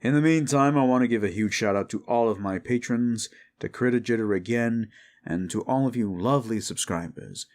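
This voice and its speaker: A man's voice: mid-range voice, fairly quick, darkish tone. A man who makes audio roleplays, speaking casually to his listeners as himself. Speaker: audio roleplay creator and performer. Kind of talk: casual outro addressed to listeners.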